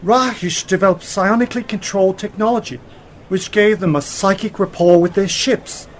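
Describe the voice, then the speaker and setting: A man's voice: high voice, normal speed, close to an everyday voice. Man playing assured scientist uncle, noisy recording. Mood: lecturing. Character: brilliant, confident male space-travelling scientist uncle. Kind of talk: radio drama acting. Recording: noticeable background noise.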